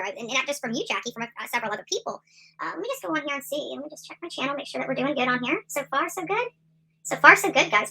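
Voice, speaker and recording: A middle-aged woman hosts a casual livestream, speaking fast in a rambling, emphatic way. Her voice sits a little high and comes across bright and forceful, full of fillers and restarts. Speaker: YouTube commentary host.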